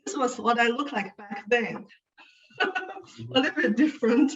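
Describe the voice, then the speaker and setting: A woman's voice: on the high side, measured pace. A woman in her fifties from Sichuan, China, whose first language is Chinese, giving a casual, chatty talk with slides over a video call, with many fillers. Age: 50s. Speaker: guest speaker. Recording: dull, distant video call audio.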